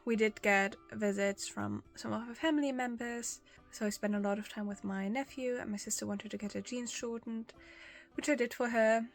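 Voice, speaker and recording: A woman's voice: a little high, fairly even intonation, normal speed, soft background music. A young woman whose first language is German, talking casually to camera in English about learning languages, with frequent restarts and small laughs. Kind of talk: casual vlog talk to camera.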